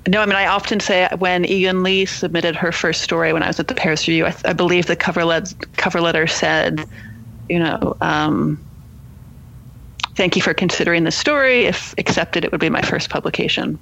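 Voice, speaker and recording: A woman answering as an interview guest, an editor speaking in a measured, hesitant, understated way. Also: on the low side, fairly even intonation, gravelly, fairly bright tone, rough recording. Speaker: guest literary magazine editor.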